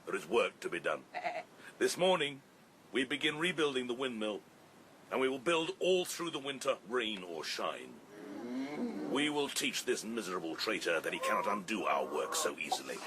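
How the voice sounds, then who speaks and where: A man's voice: mid-range voice, very animated, moderate pace, background noise. A man playing a ruthless boar leader in a radio drama, commanding and declaiming like a rousing orator.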